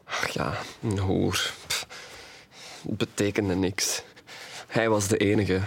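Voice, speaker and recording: An actor playing a tender, grieving, wounded young man in an audio drama, speaking in a halting, confessional way. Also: low-pitched, level tone, vocal fry, husky, exaggerated voice. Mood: grieving, weary. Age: young adult. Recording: music behind the voice.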